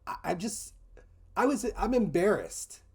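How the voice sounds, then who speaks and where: A man with a slightly high voice hosts a group discussion. He reads from an article aloud and breaks in with his own commentary, often incredulous and mocking. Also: very animated, moderate pace, darkish tone, slightly rough. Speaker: host reading an article aloud.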